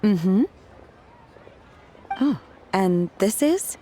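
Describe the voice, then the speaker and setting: An actress playing a stiff, blunt, businesslike young waitress in a video game. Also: female voice, mid-range voice, expressive, very slow, heavy background noise. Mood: cool, businesslike. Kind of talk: scripted video game voice acting.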